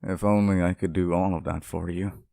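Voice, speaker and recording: Demon lover for ASMR roleplay, played by a man: very low, gravelly, unhurried, tender and possessive. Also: flat delivery, dark tone, no echo, studio quality. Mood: wistful. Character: devoted, possessive male demon sealed in a cage, sweet but menacing.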